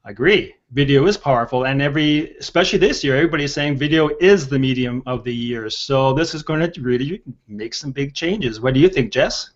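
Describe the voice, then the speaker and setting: A man's voice: mid-range voice, fairly quick, few pauses. An older man moderating a panel show over a video call. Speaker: host and moderator. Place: indoor room on a video call. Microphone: video call, earpiece worn. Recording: dull, lo-fi video call audio.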